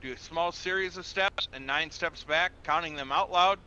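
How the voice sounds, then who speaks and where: A man's voice: fairly high pitch, moderate pace, pushed and bright, muffled recording, a little hiss or hum. A man roleplaying a patient, methodical police officer in an online game, giving firm, polite, procedural instructions. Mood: instructing.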